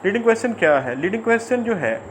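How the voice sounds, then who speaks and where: High-pitched Pakistani man giving a lecture. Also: big pitch swings, a little hiss or hum. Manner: halting, repetitive, filler-heavy explaining; mixes English legal terms into speech. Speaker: law lecturer. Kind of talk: informal explanatory law lecture.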